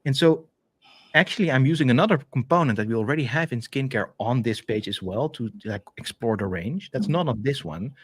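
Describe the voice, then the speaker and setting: A Dutch-accented man co-hosting a live tech stream, talking through his demo in a loose, chatty way with lots of "um"s and restarts. His pitch rises and falls a great deal as he explains things. The sound is dry and close, as if heard on a video call. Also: brisk, slightly rough, no room sound. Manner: chatty, animated, many fillers and restarts. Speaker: developer advocate co-hosting a stream. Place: home office, video call.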